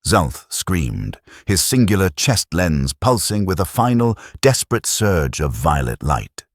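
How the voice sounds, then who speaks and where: A man narrating a science fiction audiobook in a steady, dramatic storytelling manner, close to a studio mic. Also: low voice, a little slow, dry recording. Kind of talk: scripted narration.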